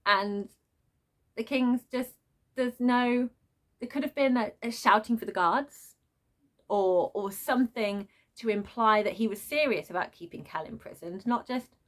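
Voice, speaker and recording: A woman's voice: fairly high pitch, measured pace. Emphatic woman ranting about her opinions. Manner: emphatic, expressive, informal. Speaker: book reviewer vlogger. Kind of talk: unscripted opinionated rant to viewers.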